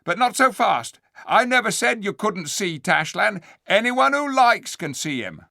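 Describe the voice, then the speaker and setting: A sly, boastful ape that sneers and jeers at everyone in a high, swooping voice, put on by a man reading a storybook aloud. The gravelly edge and dry, close sound stay under every taunt. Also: sing-song, unhurried, pushed and bright, no room sound, heavily acted. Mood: jeering. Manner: mocking, sneering. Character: sly jeering male ape, bullying and boastful.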